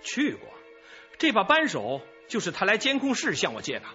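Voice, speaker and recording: High, garrulous, evasive informant. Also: male voice, huge pitch swings, normal speed, pushed and bright, dull audio, soft background music, full character voice. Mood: explaining. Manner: chatty, rambling, sighing, hedging. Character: garrulous, evasive male small-time informant, self-excusing. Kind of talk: radio drama dialogue.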